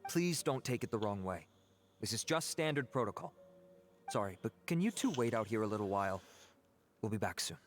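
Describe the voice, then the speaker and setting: A man playing a calm, courteous officer in a video game. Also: fairly low pitch, a little fast, pauses often, soft background music, natural voice. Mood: calm, procedural. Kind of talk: video game dialogue acting.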